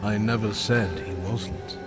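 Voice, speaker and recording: A weary, wise old giant played by a man in a fantasy game cutscene. His voice is low and very slow, grave and portentous, with prominent music behind it. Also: lightly acted. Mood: wise, solemn. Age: older. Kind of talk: video game cutscene acting.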